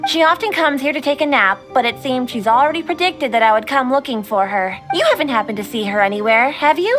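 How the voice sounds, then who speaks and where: A woman's voice: high voice, expressive, a little fast, a bit bright. A woman voicing a polite, dutiful female overseer in a video game, speaking formally and with composure. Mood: mildly exasperated. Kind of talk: video game character dialogue. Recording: game music behind the voice. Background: background music.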